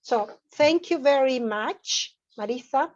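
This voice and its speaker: A woman's voice: sits high, lively intonation, takes it slowly. Chatty woman on a muffled call line. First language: Spanish. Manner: chatty, peppers speech with "okay" and "right". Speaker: teacher, audience participant. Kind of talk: casual thanks and anecdote. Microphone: video call. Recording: dull lo-fi call audio.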